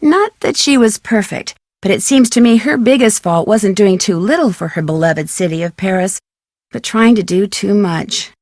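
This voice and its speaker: A woman voicing a bright, inquisitive young American amateur detective in a video game, speaking in a clear, articulate, friendly way. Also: mid-range voice, moderate pace, no echo, clean studio sound, lightly acted. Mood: chatty, recounting. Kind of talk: video game voice acting, scripted narration.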